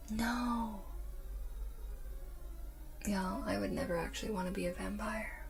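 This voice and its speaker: A woman streaming ASMR, chatting casually in a breathy whisper close to the microphone in an unhurried, drifting way. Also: on the high side, noisy background. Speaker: ASMR livestreamer chatting with viewers.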